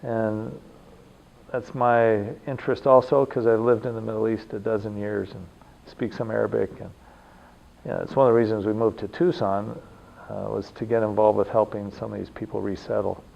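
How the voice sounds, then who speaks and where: Older man, gravelly and lowish, relaxed interview chat with soft laughs, dull recording. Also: normal speed. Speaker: engineering program organizer. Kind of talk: casual interview talk.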